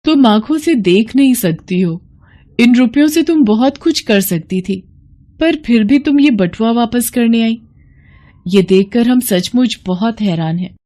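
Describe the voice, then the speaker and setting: A woman plays a well-off, kindly wife in an animated moral tale. She sounds gentle, earnest and appreciative. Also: on the high side, unhurried, pitched above the performer's own voice. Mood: amazed admiration.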